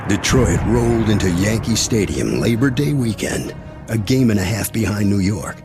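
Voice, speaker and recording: A man with a low voice narrates a sports documentary from a script. His delivery moves up and down a lot, and music plays clearly behind him. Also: lively intonation, moderate pace.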